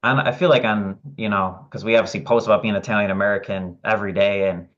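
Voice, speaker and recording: A man's voice: on the low side, steady tone, fast, fairly bright tone. A man co-hosting an interview, chatting casually and agreeably with lots of fillers. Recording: dull lo-fi sound.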